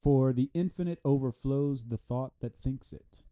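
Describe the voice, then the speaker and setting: A man reading a scholarly text aloud with deliberate, halting phrasing. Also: mid-range voice, mellow. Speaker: reader of a philosophy article. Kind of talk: read-aloud scholarly text with brief explanatory asides.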